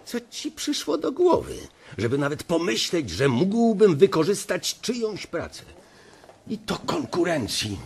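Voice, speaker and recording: Husky, wildly swooping ruthless banker. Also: male voice, high-pitched, huge pitch swings, measured pace, a little mellow, exaggerated voice. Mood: indignant. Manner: indignant, contemptuous, menacing. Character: aggressive, ruthless male careerist banker, senior analyst. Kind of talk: radio drama acting.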